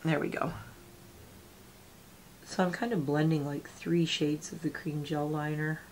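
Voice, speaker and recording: A woman's voice: low-pitched, flat delivery, measured pace, slight background noise. Sniffly, chatty woman doing her makeup. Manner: chatty, rambling, talks while applying makeup. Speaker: makeup vlogger. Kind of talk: casual get-ready-with-me chat. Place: small home room with gaming chair.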